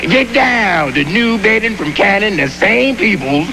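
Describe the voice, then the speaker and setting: A man delivering a radio sales pitch. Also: expressive, unhurried, vocal fry, over music.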